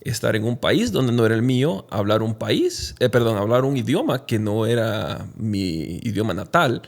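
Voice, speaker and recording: A man from Honduras, speaking reflectively and haltingly as an interview guest telling his own story. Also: fairly low pitch, very animated, gravelly. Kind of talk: conversational personal storytelling in an interview.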